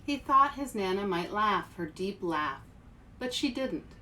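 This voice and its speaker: Expressive woman reading to children, faint noise. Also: medium pitch, a little slow. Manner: expressive, addresses young listeners directly. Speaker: author and show host. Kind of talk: children's picture book read-aloud with casual asides.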